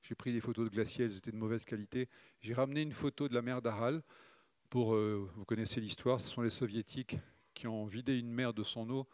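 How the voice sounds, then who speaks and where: A middle-aged man presents slides to an audience at a conference talk. He speaks in a measured, thoughtful way and often pauses on fillers as he looks for words. His voice sits in a middle register and sounds dry and close, with little room echo. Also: mid-range voice, steady tone, a little mellow. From Morocco, raised in Provence. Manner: measured, hesitant with frequent "euh" fillers.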